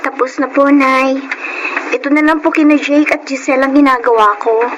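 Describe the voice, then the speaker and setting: An actress playing a shy, obliging young university student in a Filipino radio drama. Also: female voice, sits high, steady tone, normal speed, natural voice. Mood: meek, respectful. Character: shy, obliging female university student, soft-hearted and pushover. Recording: noisy radio drama audio.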